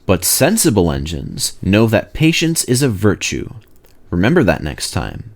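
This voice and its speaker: Railway guard, male, voiced by a man: practical, exasperated storybook type, matter-of-fact, animated pitch. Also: a little slow, gravelly, exaggerated voice. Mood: gently admonishing.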